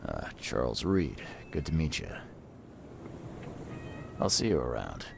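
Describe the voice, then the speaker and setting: A man's voice: very deep voice, huge pitch swings, slow, vocal fry, noisy background, full character voice. A man playing a terse, world-weary private detective in a video game, dry and guarded in manner. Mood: skeptical. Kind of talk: video game cutscene acting.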